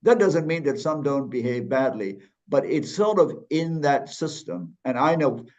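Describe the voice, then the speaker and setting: A man's voice: mid-range voice, animated, a little slow, a little mellow. A man from Malaysia speaking as a podcast guest, thoughtful, with frequent restarts and fillers. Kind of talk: conversational interview.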